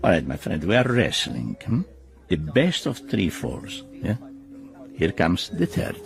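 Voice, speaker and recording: Flamboyant, deep-voiced Italian gambler villain. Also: male voice, wildly swooping pitch, unhurried, creaky, heavily acted. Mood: needling, suspicious. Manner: effusive, peppered with questioning hums and exclamations. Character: theatrical Italian male gambler, jovial yet needling villain. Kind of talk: radio drama acting.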